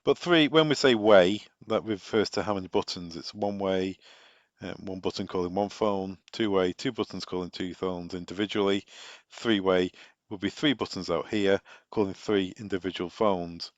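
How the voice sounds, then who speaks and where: A man's voice: sits low, moderate pace. A man working as a technical trainer, giving an instructional explanation and repeating key points with emphasis. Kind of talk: instructional explanation of wiring diagrams. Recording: dull, echoey room recording.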